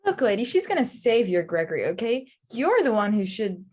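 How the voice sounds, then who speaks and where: A woman's voice: fairly high pitch, very animated, fairly quick, darkish tone, husky. A woman acting a blunt, sardonic young paramedic in a rehearsed table read. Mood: irritated.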